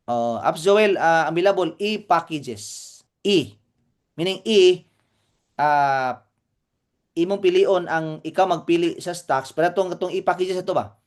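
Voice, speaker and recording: A man's voice: on the high side, a little slow, pauses often. A man with a Cebuano accent co-hosting a live training meeting, explaining informally, repeating points and checking that listeners follow.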